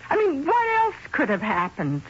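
High-voiced, frank gossip. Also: female voice, wildly swooping pitch, normal speed, music in the background. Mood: frank, gossipy. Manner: chatty, opinionated, colloquial. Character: gossipy, frank working-class woman, impatient with questions. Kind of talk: radio drama acting. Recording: muffled old radio broadcast.

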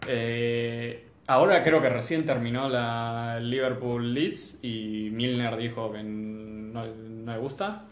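A man's voice: medium pitch, fairly even intonation, takes it slowly. A young man with an Argentine Rioplatense accent chatting casually and colloquially about sport, giving blunt opinions. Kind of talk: casual sports chat with friends. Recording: roomy, echoey room.

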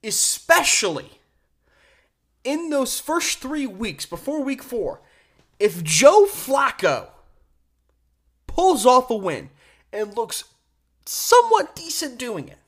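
Male sports podcast host: conversational solo commentary, opinionated delivery, leans hard on key words with deliberate pauses. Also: very high-pitched, huge pitch swings, a bit bright.

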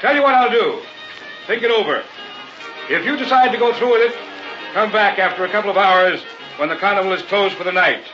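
An actor playing a smooth, pushy carnival hypnotist professor with theatrical patter, in old-time radio horror style. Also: male voice, high voice, fairly quick, long pauses, close to an everyday voice. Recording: muffled vintage radio broadcast with loud music.

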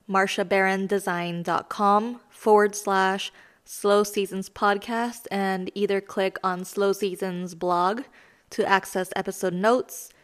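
Raspy-voiced woman hosting a lesson podcast. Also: mid-range voice. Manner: chatty, self-deprecating, explanatory. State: raspy voice from recent illness. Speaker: podcast host. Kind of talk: self-help lesson podcast.

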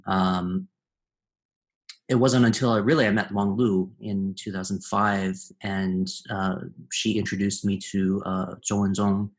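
A man's voice: sits low, flat delivery, measured pace. A man speaking as a guest in a casual conversation, thoughtful and searching for his words as he goes. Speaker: guest composer.

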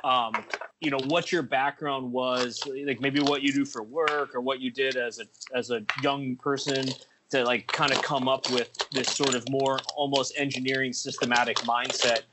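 A man's voice: medium pitch, steady tone, normal speed. Guy hosting, stumbles and repeats himself a lot, noticeable hiss in the background. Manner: hesitant, repeats words, many fillers. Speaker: host. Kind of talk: casual conversation.

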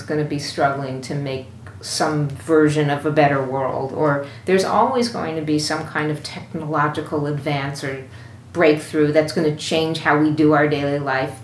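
Deep female voice, unscripted commentary, emphatic, musing, roomy echo, faint background noise. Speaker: guest commentator. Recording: echoey room with faint noise.

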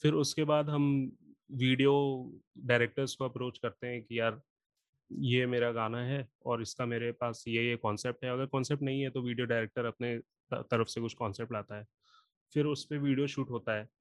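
A man's voice: mid-range voice, steady tone, normal speed, no echo. Man with a sore throat, dry sound. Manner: explains step by step, patient. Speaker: singer and rapper. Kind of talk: casual podcast chat.

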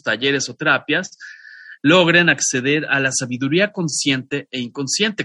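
A man's voice: on the high side, bright, forceful tone, no echo. A Mexican man co-hosting a podcast, chatting casually and introducing a guest with rambling asides and restarts. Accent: Mexican Spanish. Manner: discursive, with frequent restarts and asides. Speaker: podcast co-host.

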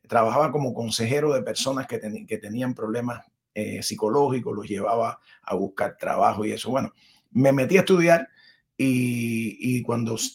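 A man's voice: medium pitch, slightly rough. A middle-aged Cuban man, a Spanish teacher and podcast guest, telling his life story in a rambling, conversational way full of restarts. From Cuba. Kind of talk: conversational interview.

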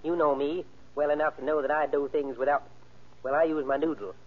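A man's voice: a little high, level tone, brisk, natural voice. A man acting as a cold, cocky gangster ringleader in an old-time radio crime drama, with slangy, bossy tough-guy talk. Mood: cocky, scheming. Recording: tinny, band-limited old radio recording.

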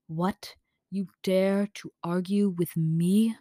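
A woman voicing a scornful, imperious male god-creature in a story, speaking slowly and with contempt. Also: lowish voice, dark tone, no echo, studio quality. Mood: defiant, menacing.

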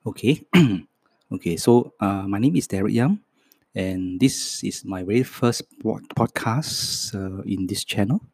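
A man in his fifties with a Hong Kong Cantonese accent, a Cantonese native speaker, hosting his first podcast and slowly reading a self-introduction script, soft and halting with many fillers. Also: on the low side, animated, breathy edge, dry recording. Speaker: podcast host.

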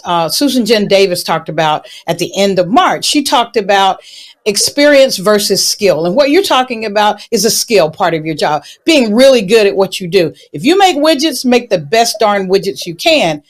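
A woman hosting an interview, encouraging her guest in an animated, chatty way. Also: medium pitch. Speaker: host. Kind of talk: conversational interview.

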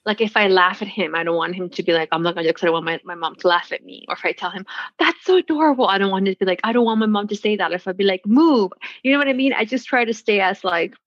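A woman's voice: medium pitch, racing, fairly bright tone, dry recording. Chatty woman co-host, dry and dull sound. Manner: chatty, filler-heavy, laughs easily. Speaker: podcast co-host. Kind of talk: casual chat.

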